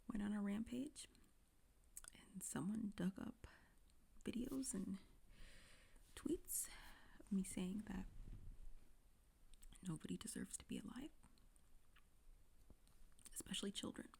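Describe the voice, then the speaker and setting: A young woman plays a self-pitying influencer who is close to tears. She speaks in a hushed, breathy whisper with a low, mellow tone and a very gravelly fry running through it. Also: exaggerated voice. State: on the verge of tears. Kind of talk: parody apology video.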